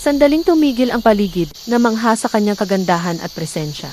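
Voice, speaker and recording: Female storyteller, medium pitch, dramatic scripted folktale narration, with clearly audible background nature noise. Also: lively intonation, quick pace, a little mellow.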